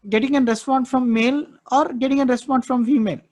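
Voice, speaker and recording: A man's voice: very high voice, steady tone, quick pace, a little mellow. A male instructor with an Indian English accent, walking students through a live technical class, often repeating himself and checking "okay, understood?".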